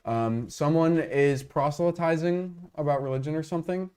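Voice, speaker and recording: A man's voice: on the high side, normal speed, darkish tone, clean tone. A man hosting a casual livestream, rambling and joking as he talks to his chat on a lapel mic. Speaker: livestream host.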